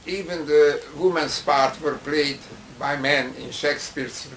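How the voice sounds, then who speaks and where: A man with a Hungarian accent, a guest author in a casual discussion, who speaks haltingly and searches for his words. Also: on the high side, fairly bright tone, echoey room, slight background noise, low-quality recording.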